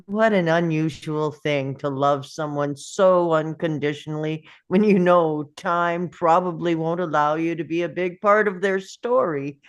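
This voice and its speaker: An actor at a script table read playing an elderly grandparent, reflective and tender, musing in halting phrases. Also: female voice, low-pitched, smooth.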